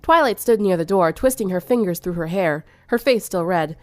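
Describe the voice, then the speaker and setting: A woman's voice: fairly low pitch, animated, moderate pace, dry recording, soft background music. A woman narrating fan fiction in a dramatic storytelling style.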